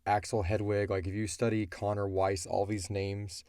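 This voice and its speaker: Congested man with a low, mellow voice. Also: little pitch movement, normal speed, no echo, studio quality. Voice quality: congested, stuffy. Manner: low-key, unenthusiastic-sounding. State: congested from a cold. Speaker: host of an audio drama Q&A show. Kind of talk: casual chat answering fan questions.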